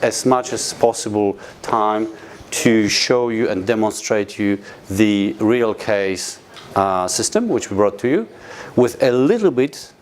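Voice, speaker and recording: A man's voice: lowish voice, darkish tone. A man with a Polish accent giving a live product presentation to a training audience, hesitant with many fillers and restarts. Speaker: company presenter at a training session.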